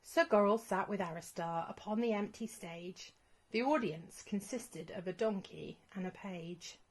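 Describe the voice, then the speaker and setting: A woman reading a rhyming children's storybook as scripted narration, in an expressive storytelling manner. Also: mid-range voice, measured pace.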